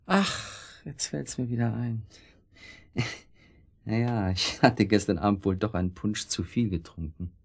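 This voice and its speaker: Husky, evasive husband. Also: male voice, lowish voice, a little slow. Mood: sheepish. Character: evasive husband making excuses to his suspicious wife. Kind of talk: German radio drama acting.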